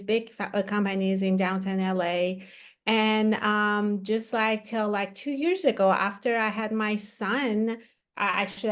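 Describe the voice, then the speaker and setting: A woman, talking chattily with many fillers and restarts as an interview guest. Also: mid-range voice. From Iran. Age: 40s. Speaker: guest, activewear founder. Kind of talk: casual interview talk.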